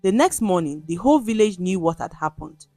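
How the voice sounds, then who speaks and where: A woman storyteller reading a scripted folktale in a dramatic, measured way. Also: medium pitch, sing-song, dark tone, no echo, soft background music.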